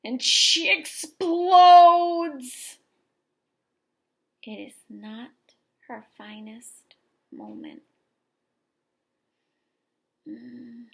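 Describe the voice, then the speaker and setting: A woman teacher reading a picture book aloud expressively to young children, pausing for explanations and questions. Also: on the high side, big pitch swings, vocal fry. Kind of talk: expressive children's story read-aloud with asides to listeners.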